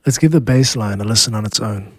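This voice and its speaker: A man with a South African accent, hosting a music podcast in a measured, explanatory narration. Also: lowish voice. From Cape Town, South Africa. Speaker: podcast host, music producer and composer.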